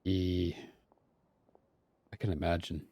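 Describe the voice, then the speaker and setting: A man's voice: low-pitched, level tone, slow, noisy background. A man doing casual live commentary as a game streamer, chatty and playful. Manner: playful, chatty, mild joke swearing. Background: game music.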